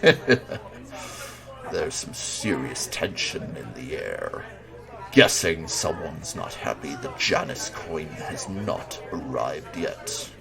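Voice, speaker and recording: An actor playing a big, gruff, loyal supernatural enforcer in an audio drama, with a gravelly, commanding delivery. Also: male voice, big pitch swings, slow pace, over music, exaggerated voice. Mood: amused, watchful. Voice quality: very deep, gravelly.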